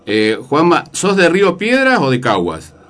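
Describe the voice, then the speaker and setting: Argentine radio host, halting questions. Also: male voice, fairly high pitch, huge pitch swings, a bit bright. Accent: Argentine Spanish. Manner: hesitant, many fillers, leading questions. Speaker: radio boxing show host. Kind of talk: radio interview.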